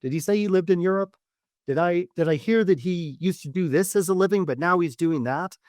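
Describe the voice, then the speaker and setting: A man speaking as a dating coach and podcast host, giving instructional advice in deliberate, measured phrasing with repeated words. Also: sits high, darkish tone, no room sound, studio quality. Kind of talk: instructional podcast monologue to camera.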